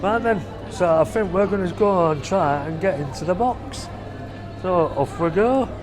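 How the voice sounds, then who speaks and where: A man hosting a family vlog talks in a fairly high voice while he walks a theatre with a handheld camera. His chatty tour patter is full of "so" and "uh". Music plays clearly behind him. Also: a little slow. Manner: chatty, loose, with frequent "so" and "uh". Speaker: family vlogger host. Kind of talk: casual walking tour narration. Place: inside a theatre, foyer and private box.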